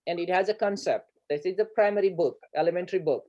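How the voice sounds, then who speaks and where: A man's voice: sits high, quick pace, a little mellow, muffled recording. A man from Nepal with a Nepali accent, answering questions in an online education talk. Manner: earnest, repeats words, asks "right?". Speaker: guest speaker, educator. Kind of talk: online lecture Q&A.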